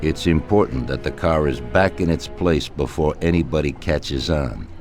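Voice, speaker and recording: An actor playing an older male mob boss, shrewd and commanding, who gives orders in a slow, deliberate way in a video game cutscene. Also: a bass voice. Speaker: crime boss. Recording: clear music bed behind voice. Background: music.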